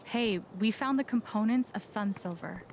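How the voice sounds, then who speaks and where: An actress playing a wry, gentle young woman joining in a fantasy quest in a video game. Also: medium pitch, moderate pace, a little hiss or hum, natural voice, rough recording. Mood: playful.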